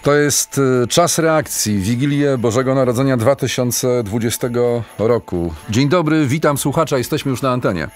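An actor playing a polite, hesitant host of a live radio phone-in in a radio play. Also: male voice, mid-range voice, normal speed, hardly any pauses, faint music behind, lightly acted. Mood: amazed, grateful. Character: polite, amazed radio call-in show host.

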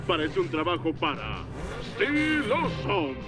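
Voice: mid-range voice, huge pitch swings, slow pace. An actor voicing a bombastic heroic motorbike from an action film in a cartoon, with dramatic, declamatory delivery. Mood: bold, heroic. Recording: loud music behind the voice.

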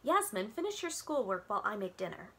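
A woman voicing a calm, reassuring mother in a children's storybook. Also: mid-range voice, fairly quick. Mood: soothing. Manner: gentle, reassuring.

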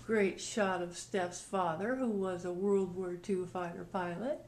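A woman's voice: fairly low pitch, steady tone, measured pace. A woman hosting a casual walk-through tour of a rental house, speaking in short descriptive phrases as she moves from room to room. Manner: short descriptive phrases while walking about. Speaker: vacation rental host giving a house tour. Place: indoors, moving through rooms of a house. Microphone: handheld camera's built-in mic. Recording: noisy handheld camera recording.

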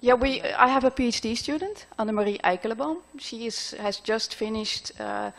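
A middle-aged woman answering audience questions after a conference talk, pausing often with fillers as she thinks, speaking into a podium microphone in a large lecture hall. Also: fairly high pitch. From Netherlands. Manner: thoughtful, halting with frequent fillers.

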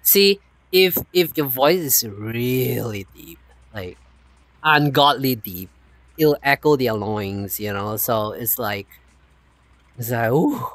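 A man hosting a casual chat stream in an animated, rambling way with frequent laughs and a non-native accent. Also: mid-range voice, slow, no echo. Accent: non-native, unnamed. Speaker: streamer host.

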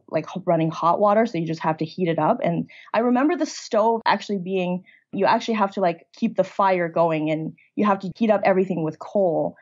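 A woman's voice: on the low side, darkish tone, muffled recording. A woman in her thirties, raised in China, talking openly about her early life as an interview guest. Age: 30s. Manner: open, conversational storytelling. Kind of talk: casual interview talk.

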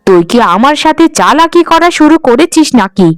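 A woman's voice: high voice, expressive, no room sound, studio quality. A woman playing a bossy but fair household mistress in a cartoon, speaking in a commanding manner. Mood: stern, demanding. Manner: brisk, commanding. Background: faint music.

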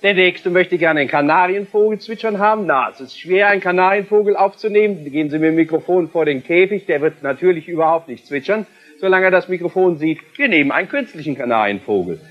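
A man's voice: high voice, lively intonation, quick pace, hardly any pauses. A man in his sixties from Germany presenting a TV demonstration of electronic music equipment, explaining with a showman's emphasis. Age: 60s. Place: indoor electronic music studio. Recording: dull lo-fi 1970s television tape.

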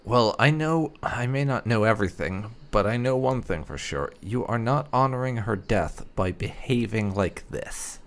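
Gravelly low-voiced man commentating a game. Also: lively intonation, normal speed, hardly any pauses, a little hiss or hum. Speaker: gamer playing and commentating. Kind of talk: casual playthrough commentary with light roleplay.

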